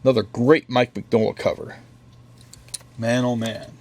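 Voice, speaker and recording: A man's voice: medium pitch, fairly even intonation, moderate pace, a little mellow. A man running a comic shop, talking casually through the week's new comics with offhand joking asides. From United States. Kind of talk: casual product run-through. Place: comic book store.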